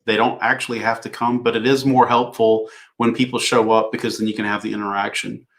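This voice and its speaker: A man's voice: fairly low pitch, brisk. Easygoing man hosting a live Q&A. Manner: relaxed, thinking aloud with fillers. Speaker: host. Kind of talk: casual livestream Q&A coaching.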